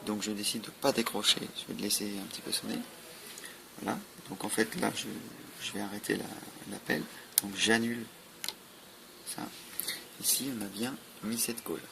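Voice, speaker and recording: A man walks through a product in a matter-of-fact way. His voice is low and gravelly, with very little melody. The recording is noisy, with clicks. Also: steady tone, normal speed. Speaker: product demonstrator. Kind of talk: informal hands-on product demonstration.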